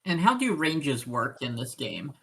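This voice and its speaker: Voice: medium pitch, very animated, unhurried, vocal fry, fairly bright tone, poor audio. A tabletop game player talking casually and hesitantly, cautiously working out each move and asking questions.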